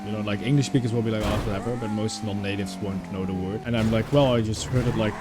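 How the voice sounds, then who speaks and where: A man's voice: fairly low pitch, fairly even intonation, fast talker, loud music behind the voice. A young man with a Dutch-German accent, talking casually and rambling with many fillers while he plays a driving game. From Netherlands. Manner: rambling, chatty, many fillers and self-corrections. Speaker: gaming YouTuber. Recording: game car engine noise behind. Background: racing car engine and game sounds.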